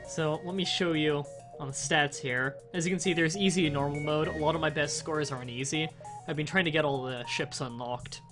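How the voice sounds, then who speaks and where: Sick young man, nasal and rambling. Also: fairly high pitch. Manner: rambling, explains game mechanics with fillers and restarts. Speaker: game streamer. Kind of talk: casual let's-play commentary.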